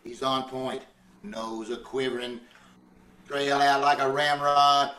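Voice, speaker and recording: A man's voice: mid-range voice, monotone, takes it slowly, bright, forceful tone. A man playing a folksy, boastful, bumbling husband in old-time radio comedy style, blustering and stammering. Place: indoors on a live Zoom call. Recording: echoey, distant, noisy video call.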